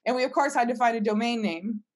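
A woman's voice: a little high, fast. A woman speaking casually as a startup founder in an interview, telling stories and voicing her own past reactions. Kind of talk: casual conversational storytelling.

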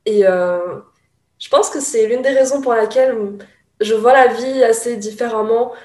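A woman's voice: a little high, normal speed, a little mellow. French-Taiwanese woman, roomy sound. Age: young adult. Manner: thoughtful, with many hesitations. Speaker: guest. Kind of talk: casual chat.

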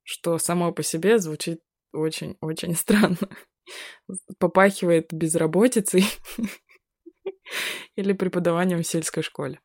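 Low-voiced young woman, wry and casual. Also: fairly even intonation, a little slow, long pauses, a little mellow, dry recording, studio quality. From Buryatia, Russia. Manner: laughing, ironic, swears casually. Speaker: guest. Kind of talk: casual chat.

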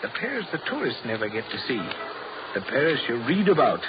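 A man's voice: mid-range voice, very animated, fast talker, over music, close to an everyday voice. Suave, sly male seducer, acted out, muffled sound. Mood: persuasive, amused. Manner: suave, insinuating, chuckling. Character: smooth-talking, sly male seducer abroad in Paris. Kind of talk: old-time radio drama acting. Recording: muffled, noisy old radio recording.

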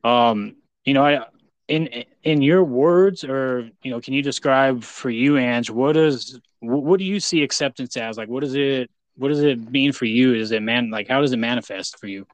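American man, podcast host, smooth and clear, dry close sound, effusive, rambling, casual. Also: mid-range voice, moderate pace, dry recording. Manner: effusive praise, rambling, repeats "you know". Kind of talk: casual interview chat.

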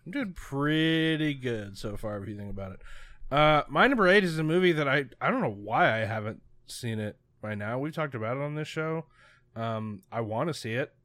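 A man's voice: medium pitch, lively intonation, moderate pace, a bit bright, dry recording. A man co-hosting a podcast, chatting casually in a rambling, hesitant way, often poking fun at himself. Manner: rambling, hesitant, self-deprecating. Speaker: podcast co-host. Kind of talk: casual chat.

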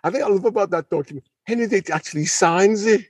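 An enthusiastic man discusses history off the cuff in a fairly high, husky voice. He wanders into asides and repeats phrases to drive points home. The sound is close and dry. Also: fast, dry recording. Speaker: podcast guest discussing history. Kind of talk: unscripted, conversational history discussion.

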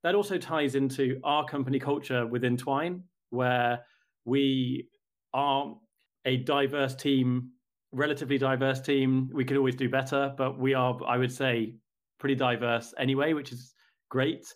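A man answering interview questions about his company, in a measured, thoughtful way with occasional restarts. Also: mid-range voice, little pitch movement. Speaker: company representative, guest.

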